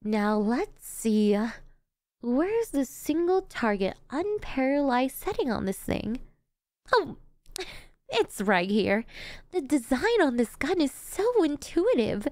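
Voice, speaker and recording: A woman's voice: high voice, big pitch swings, slow pace, a little mellow, dry recording, clean studio sound, heavily acted. A woman playing a gleeful, cocky yet doting female supervillain in an ASMR roleplay, with a theatrical, teasing, chatty manner. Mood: adoring, excited.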